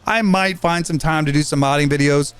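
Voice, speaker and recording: A man in his fifties giving a rambling, casual update on his gaming channel, talking fast with frequent fillers and restarts. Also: a little high, fairly even intonation, clean tone, faint music behind. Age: 50s.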